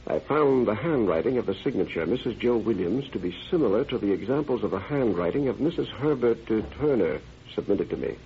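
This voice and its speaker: A man's voice: lowish voice, animated, brisk, few pauses. An actor playing a learned, confident male criminologist who speaks formally and methodically in an old-time radio drama. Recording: dull, noisy vintage radio recording.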